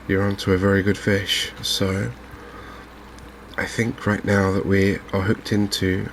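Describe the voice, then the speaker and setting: A soft-spoken man giving unhurried ASMR commentary on a video game. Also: low-pitched, little pitch movement, slight background noise. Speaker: gaming video host.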